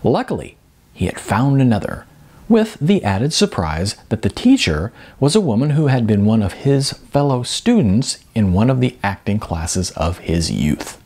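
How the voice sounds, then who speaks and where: Male narrator, low and husky, lively swings in intonation, expressive audiobook-style reading of literary prose. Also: unhurried, a little hiss or hum. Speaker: author reading their own novel.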